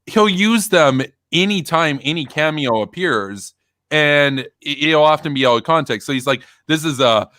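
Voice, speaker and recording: A man hosting a casual stream, talking in a rambling, animated way with many fillers and restarts. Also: fairly high pitch, normal speed, no echo, studio quality. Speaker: stream host. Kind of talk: casual chat.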